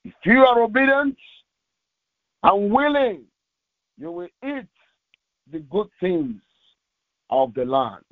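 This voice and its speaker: A male pastor reading scripture and preaching in short, deliberate, emphatic phrases, heard through tinny, narrow audio. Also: sits high, lively intonation, no echo.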